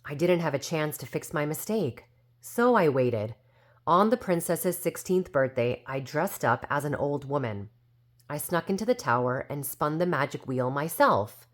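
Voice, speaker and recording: A woman's voice: low-pitched, expressive, moderate pace. A woman voicing a very old, indignant but well-meaning fairy who tells her own storybook tale defensively and with self-assurance. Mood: earnest. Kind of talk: storybook character narration.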